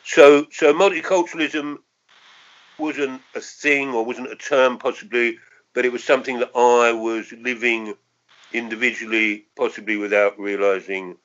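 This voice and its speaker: A man's voice: mid-range voice, fairly even intonation, normal speed, a bit bright. Reflective older London man, muffled recording. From London, England. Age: 60s. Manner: reflective, hesitant, circling back to qualify points. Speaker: interviewee. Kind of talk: reminiscing in an oral history interview.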